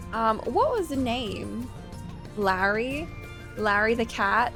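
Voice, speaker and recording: A woman streamer with a chatty, giggly manner, switching between casual talk and reading a story aloud. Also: mid-range voice. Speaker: livestream host reading a visual novel aloud. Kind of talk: casual chat and read-aloud story narration. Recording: background music.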